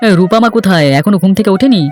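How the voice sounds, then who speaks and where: A man's voice: high voice, very fast, a little mellow, soft background music, close to an everyday voice. A man voicing an easygoing, hardworking farmer and husband in a cartoon folk tale. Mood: content, reasonable. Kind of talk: Bengali cartoon fairy-tale dialogue.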